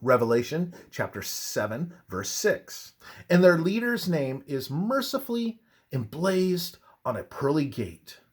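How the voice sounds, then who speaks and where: A man narrates a religious text in a husky, mid-pitched voice. His pace is slow and measured, and his intonation moves widely up and down. The delivery is solemn and preacherly, clearly read from a script. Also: big pitch swings.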